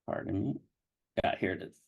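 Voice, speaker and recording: A man presenting a digital edition's web interface on a video call, speaking haltingly with many restarts. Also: muffled recording. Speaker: digital project developer presenting a site.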